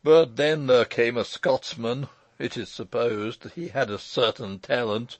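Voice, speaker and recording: A man's voice: mid-range voice, a little slow, no echo, natural voice. A man voicing a pompous, fussy old uncle in an audiobook story, speaking in a formal, long-winded and pedantic way. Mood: bitter, pedantic.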